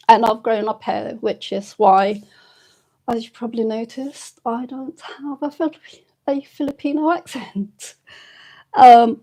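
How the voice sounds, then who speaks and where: A woman in her fifties chats with her viewers in an unhurried, fairly high voice. She sounds emotional and a little teary, and her soft, hesitant delivery is full of pauses and fillers. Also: vocal fry. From United Kingdom. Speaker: channel host. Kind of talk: casual live-stream chat with viewers.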